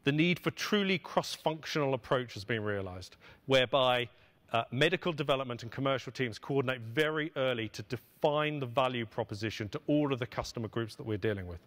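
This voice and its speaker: A middle-aged man with a medium-pitched voice speaks from a conference stage. He delivers a prepared keynote in an executive register, setting out his phrases deliberately and pausing for emphasis. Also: fairly bright tone. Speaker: pharmaceutical finance executive giving a keynote. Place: on stage at a podium in a conference hall. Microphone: podium microphone.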